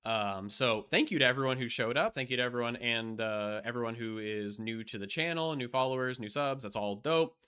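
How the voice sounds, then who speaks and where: A man's voice: mid-range voice, fairly quick, few pauses, pushed and bright, smooth. A young man chatting casually on a live stream, rambling with lots of fillers and restarts, blunt and profane, and losing his voice.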